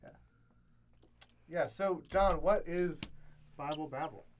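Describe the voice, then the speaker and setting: A man in his twenties co-hosting a casual podcast from a college dorm room, easygoing and quick to laugh, with many fillers. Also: fairly high pitch. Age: 20s. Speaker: podcast co-host. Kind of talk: casual chat.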